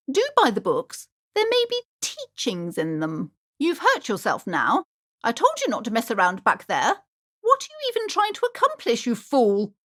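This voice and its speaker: High, swooping, haughty shopkeeper mother. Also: fairly bright tone, exaggerated voice. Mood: exasperated, alarmed. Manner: theatrical, imperious, swooping between sweet and scolding. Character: haughty, superstitious, high-strung shopkeeper mother, pushy and judgmental. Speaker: voice actor in a video game. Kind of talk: theatrical character dialogue for a video game.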